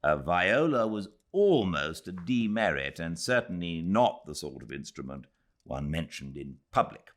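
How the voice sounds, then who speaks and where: British man, low-pitched, widely varied intonation, measured literary audiobook narration, dramatic phrasing. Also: very animated. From Britain. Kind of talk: literary scripted narration, expressive reading.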